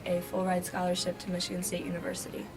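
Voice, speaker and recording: A young woman whose first language is Greek, a graduating student answering interview questions hesitantly with many pauses. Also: lowish voice, completely flat delivery, a little mellow, soft background music. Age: young adult. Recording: noisy background.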